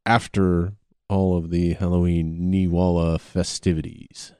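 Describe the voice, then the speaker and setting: A man's voice: sits low, little pitch movement, unhurried, darkish tone, smooth, no echo, clean studio sound. A man co-hosting a casual chat, talking informally with the odd swear word. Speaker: podcast co-host.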